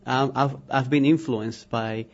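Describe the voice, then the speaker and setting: A man's voice: medium pitch, steady tone, measured pace, muffled recording. A man from Colombia with a Spanish accent answering interview questions about schools, hesitant and full of fillers. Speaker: school diversity office head.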